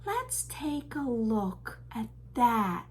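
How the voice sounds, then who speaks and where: High-voiced woman telling stories slowly to children. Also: big pitch swings, a little hiss or hum. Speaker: children's Torah teacher and storyteller. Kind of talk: storytelling for young children.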